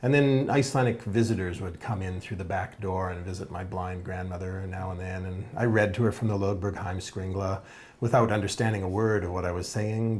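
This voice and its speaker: Low-voiced Canadian man reminiscing. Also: a little mellow. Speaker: interviewee. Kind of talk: casual storytelling.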